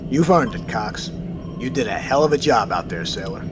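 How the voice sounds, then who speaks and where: An actor playing a tired, decisive submarine captain who gives calm, brisk orders in an audio drama. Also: male voice, fairly low pitch, music in the background, natural voice. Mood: calm command.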